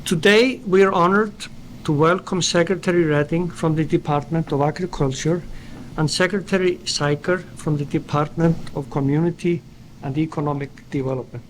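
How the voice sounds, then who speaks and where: A middle-aged man from Iceland with an Icelandic accent, a company founder reading a prepared speech in a formal, measured way at an indoor event. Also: fairly high pitch, steady tone, a little hiss or hum, rough recording. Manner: formal, measured delivery read from paper. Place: indoor event at a dairy plant.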